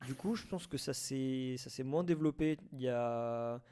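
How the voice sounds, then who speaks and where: A man talking informally in an online debate, haltingly and with repeated phrases. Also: mid-range voice, dark tone, smooth. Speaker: debate participant.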